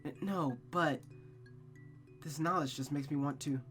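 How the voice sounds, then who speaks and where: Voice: measured pace, fairly bright tone, natural voice. An anxious young woman from a visual novel, acted out by a game reader. She sounds hesitant and pleading, her voice lifted and fearful, with music underneath. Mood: doubtful. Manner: hesitant, pleading, higher-pitched.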